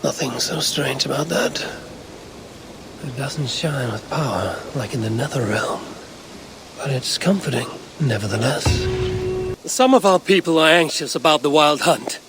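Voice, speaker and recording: Viking war leader, gravelly, unhurried, stoic, video game cutscene. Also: male voice, mid-range voice, lively intonation, background noise. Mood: calm. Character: battle-hardened Viking warrior leader, steady, stoic and resolute. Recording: music behind the voice.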